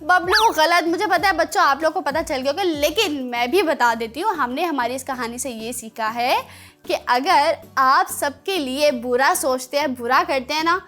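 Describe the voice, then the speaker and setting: High-pitched woman telling children's stories. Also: normal speed, a bit bright, soft background music. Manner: asks listeners questions, teacherly. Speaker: children's show host and storyteller. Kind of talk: storytelling to children.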